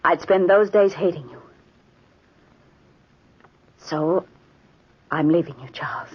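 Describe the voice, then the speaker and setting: An actress playing a sorrowful, resolute young woman in an old radio melodrama, husky and measured. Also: on the low side. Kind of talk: 1940s radio acting. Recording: muffled old radio recording.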